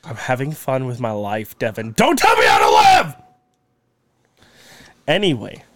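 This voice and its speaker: A man's voice: mid-range voice, huge pitch swings, raised voice, heavy background noise. Man, mock Russian accent, hammy jokes, bouncy intonation, casual podcast chat. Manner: joking, hammy, deliberate phrasing. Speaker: podcast co-host.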